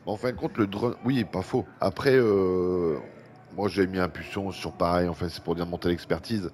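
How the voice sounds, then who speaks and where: Give me a deep-voiced man with a French accent and a head cold, talking casually over gameplay at his mic. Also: moderate pace, a little mellow. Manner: chatty, breathes heavily at times. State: has a head cold, congested. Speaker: gaming YouTuber. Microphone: desk microphone with fan running nearby. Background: faint fan noise.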